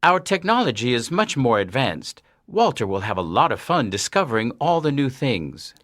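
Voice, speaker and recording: Woman doing a deep-voiced alien teacher. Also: very animated, moderate pace, exaggerated voice. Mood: calm, explaining. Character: male alien disguised as a schoolteacher.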